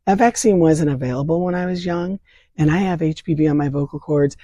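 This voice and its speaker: Hoarse older woman explaining earnestly. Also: deep voice, brisk, mellow, no room sound, lo-fi sound. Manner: earnest, explains with vivid analogies. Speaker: state leader of a vaccine advocacy group. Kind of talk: explanatory interview answers. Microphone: remote interview from home.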